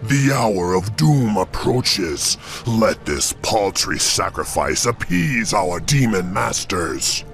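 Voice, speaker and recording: A menacing orc warlock from a fantasy game. The voice is a gravelly, creaking growl that proclaims doom slowly and ominously, with music faint behind it. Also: male voice, on the low side, sing-song, fairly bright tone, full character voice.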